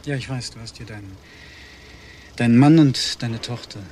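An actor as insistent secret lover, faint noise. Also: male voice, medium pitch, moderate pace, dark tone, natural voice. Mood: pressing, aggrieved. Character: pressing, aggrieved secret lover demanding money. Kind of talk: German TV crime drama acting.

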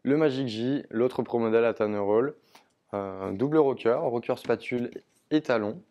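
A young man, a ski sales advisor, presents a product range in a steady, informative way with frequent hesitation fillers. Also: medium pitch, moderate pace, muffled recording. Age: young adult. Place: indoor shop studio in front of a ski wall.

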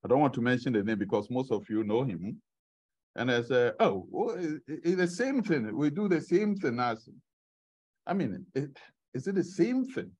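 A man's voice: mid-range voice, expressive, a little mellow, slightly rough. A middle-aged man preaching a sermon to a congregation in a church meeting room, asking them questions as he goes, heard from a camera at the back. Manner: unhurried, asks the congregation questions. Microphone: far camera at the back of the room.

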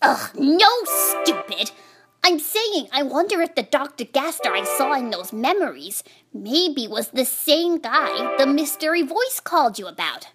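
A woman's voice: high-pitched, very animated, a little slow, music in the background. A woman playing a small, snappish, guilt-haunted talking flower in a comic dub, speaking hesitantly and irritably. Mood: irritated. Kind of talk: dramatic comic dub acting.

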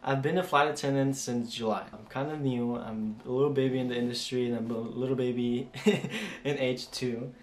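A young man in his twenties talks casually and rambles, with fillers and restarts as he answers viewer questions. His voice sits at an ordinary mid pitch, and the small room around him rings with noticeable echo. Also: fairly even intonation, normal speed, a little hiss or hum. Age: 20s. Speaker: flight attendant vlogger. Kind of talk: casual Q&A chat. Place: small home room indoors.

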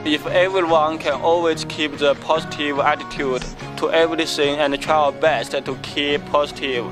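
A man's voice: fairly high pitch, steady tone, moderate pace, over music. A man giving earnest interview answers about volunteer work, speaking simple English.

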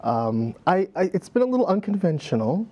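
A man's voice: high-pitched, sing-song, a little fast, darkish tone. Middle-aged male guest, reflective storyteller, easygoing, studio lapel sound. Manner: reflective storyteller, many fillers, laughs easily. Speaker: guest, dance director. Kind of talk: conversational interview. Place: TV studio set.

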